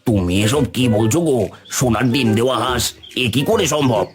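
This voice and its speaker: A man's voice: mid-range voice, brisk, natural voice. Man voicing a grumbling fisherman husband. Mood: doubtful. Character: fisherman husband, middle-aged, easily impatient. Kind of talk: cartoon voice acting. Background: background music.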